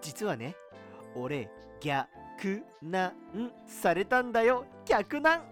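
A man playing an excitable, lazy, girl-starved university student with a whiny manner in an amateur radio drama. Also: sits high, very slow, soft background music, heavily acted. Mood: excited.

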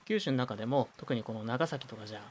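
A man's voice: mid-range voice, fast, a little hiss or hum, natural voice. A man playing a knowledgeable, dryly teasing university student, explaining things matter-of-factly in an amateur radio drama.

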